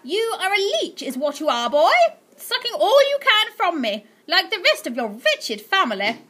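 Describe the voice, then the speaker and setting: A woman's voice: very high-pitched, very animated, measured pace, sharp tone, voice pushed up, exaggerated voice. A woman voicing a greedy, cat-obsessed rich old aunt who sneers and bellows threats. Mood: furious.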